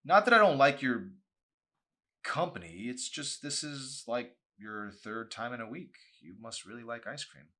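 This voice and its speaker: A man plays a smitten teenage boy in an audiobook. He fumbles hesitantly, awkward and quick to get defensive, in a bright voice. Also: mid-range voice, animated, voice pushed up. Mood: awkward, nervous.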